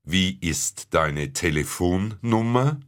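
Deep-voiced man asking slow questions. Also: lively intonation. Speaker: interviewer in a scripted dialogue. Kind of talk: slow scripted language-course dialogue.

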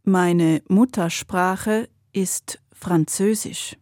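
A woman answering questions slowly and carefully in a scripted language-course dialogue. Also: fairly low pitch, a little mellow, no room sound, clean studio sound.

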